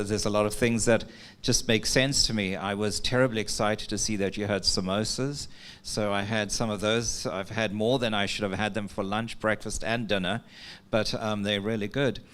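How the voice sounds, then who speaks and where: Low-voiced man presenting. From South Africa. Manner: conversational storyteller, relaxed, with filler words. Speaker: workshop presenter. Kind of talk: conference talk opening with an anecdote. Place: workshop meeting room.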